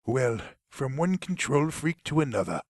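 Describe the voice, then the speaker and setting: An actor playing a guarded man who calls himself a control freak, speaking in a dry, measured way. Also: mid-range voice, sing-song, dry recording, close to an everyday voice. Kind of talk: web drama acting.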